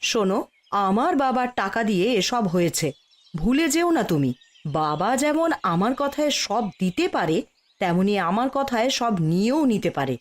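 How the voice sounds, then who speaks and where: A woman's voice: medium pitch, sing-song, moderate pace, lightly acted. A woman playing a scolding, principled wife in a moral-tale cartoon, with a firm, admonishing manner.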